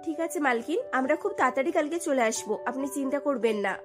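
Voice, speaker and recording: A woman's voice: sits high, expressive, fast, music in the background. A woman voicing a poor young housemaid and mother in a cartoon, anxious and deferential, with a pleading, apologetic manner. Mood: obedient.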